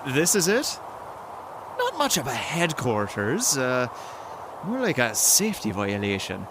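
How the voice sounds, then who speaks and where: A man's voice: medium pitch, wildly swooping pitch, measured pace, background noise. An actor playing a nervous, wry young male companion in an audio drama. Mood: wry, unimpressed. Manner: fretful, wry.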